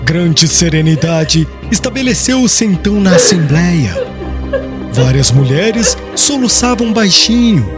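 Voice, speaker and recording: A man's voice: high voice, big pitch swings, slow. A man narrating an audiobook in a solemn, formal storytelling manner. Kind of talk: scripted literary narration. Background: music.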